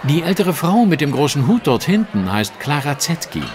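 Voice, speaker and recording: Male gentleman detective, lively intonation, precise and dry, over clear scene ambience. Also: on the high side, normal speed, background noise. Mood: dry, knowing. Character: astute, self-assured gentleman detective, dryly teasing. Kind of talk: German audio drama dialogue.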